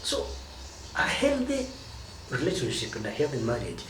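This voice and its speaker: Kenyan guy lecturing on marriage, lively up-and-down voice, roomy echo, noisy. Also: mid-range voice, far from the mic. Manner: emphatic, drawn-out stresses on key words. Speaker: marriage advice speaker. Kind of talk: teaching monologue.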